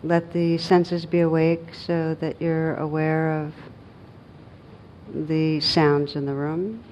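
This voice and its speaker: Low, even-voiced woman guiding meditation. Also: lo-fi sound, a little hiss or hum. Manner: gentle, unhurried, with long pauses. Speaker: meditation teacher. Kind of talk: guided meditation.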